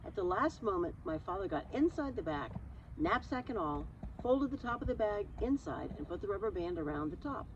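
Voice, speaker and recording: A woman reading a children's story aloud in an expressive, chatty manner, slipping in casual asides and simple explanations. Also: mid-range voice, brisk, dull audio, background noise.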